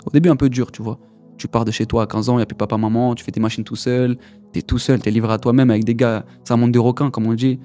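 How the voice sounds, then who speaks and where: A man's voice: mid-range voice, warm tone. A young man in his twenties from the Paris region, giving a casual personal testimony in a slangy way, often repeating his words. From Paris region, France. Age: 20s.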